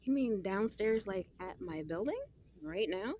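Young woman, lively swooping intonation, sassy, slangy, smitten yet guarded, casual audio drama. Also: mid-range voice, a little slow. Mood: flustered. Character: smitten, guarded young woman gossiping with her best friend.

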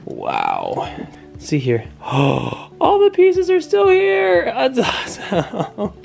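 A man's voice: very high voice, wildly swooping pitch, extremely slow, creaky, a little mellow, faint music behind. A young man in his twenties, playful and teasing, laughing often as he vlogs with a handheld camera in a car and at home. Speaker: vlogger. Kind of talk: casual family vlog. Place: inside a car, then a family home. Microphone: handheld camera at arm's length.

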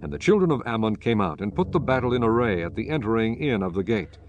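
A man narrating scripture from a script in a measured, solemn manner. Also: on the low side, very animated, highs cut off. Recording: music behind the voice.

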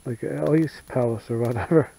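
A man talking in a plain, unhurried, folksy way while showing his camp in the snowy woods, recorded on a wearable camera. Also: mid-range voice, vocal fry, noisy background. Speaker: bushcraft vlogger. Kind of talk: casual outdoor narration. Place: snowy forest outdoors.

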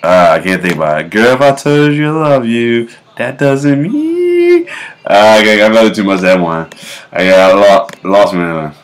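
A man in his thirties with a low bass voice, casually reacting to memes at home, laughing often and breaking into off-key singing. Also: sing-song, moderate pace. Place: home kitchen, indoors. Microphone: webcam at close range.

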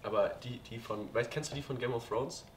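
A man's voice: mid-range voice, fairly quick, background noise. A man in his twenties, a dating show contestant, goofy and a little unsure in casual chat. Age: 20s.

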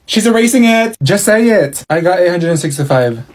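A man's voice: high-pitched, expressive, moderate pace, darkish tone. A man rattling through a comedic classroom skit as several bickering, sassy characters. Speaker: sketch comedian voicing a classroom.

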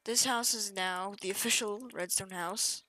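Casual gamer woman, mid pitch, chatty and offhand, dry close recording. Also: unhurried, sharp tone, dry recording, rough recording. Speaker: gaming youtuber. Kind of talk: casual gameplay walkthrough.

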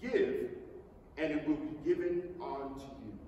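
Slow, echoing man reading scripture dramatically. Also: fairly high pitch, mellow, highs cut off. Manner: slow, emphatic declamation. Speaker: stage reader. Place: on stage in a large indoor theatre. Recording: echoey theatre hall from far off, noisy.

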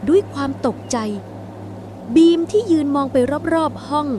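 Woman storyteller, high voice, dramatic scripted ghost-story narration, clearly noisy ambient backdrop. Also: unhurried, dark tone. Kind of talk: scripted narration of a spooky bedtime horror tale. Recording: clear background noise, ambient rumble.

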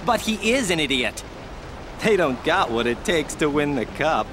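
Arrogant, animated sports bully. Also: male voice, a little high, normal speed, background noise. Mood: scornful. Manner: sarcastic, contemptuous. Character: arrogant, scornful male rival team player. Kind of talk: video game cutscene acting. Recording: music behind the voice.

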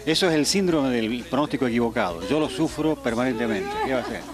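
A middle-aged man with an Argentine accent, a weather presenter chatting as a guest in a TV studio, joking about himself and acting out people's shouts. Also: medium pitch, animated, quick pace, a crowd in the background. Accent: Argentine Spanish. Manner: joking, self-deprecating, acts out shouts from passersby. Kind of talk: casual interview. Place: TV studio set, on a couch. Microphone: handheld mic held by interviewer.

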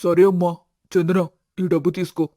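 A man's voice: high voice, little pitch movement, moderate pace, warm tone, lightly acted. A man voicing an earnest, dutiful young son. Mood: eager. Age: young adult. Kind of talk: animated family story acting.

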